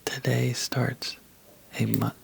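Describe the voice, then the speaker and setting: A man telling a sleepy ASMR bedtime story in a soft, breathy half-whisper, halting and drowsy, with long pauses. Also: medium pitch, little pitch movement.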